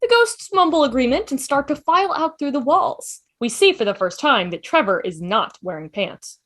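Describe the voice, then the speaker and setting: A woman's voice: sits high, big pitch swings, moderate pace, a bit bright. A woman reading scripted stage directions for an amateur table read, announcing each scene.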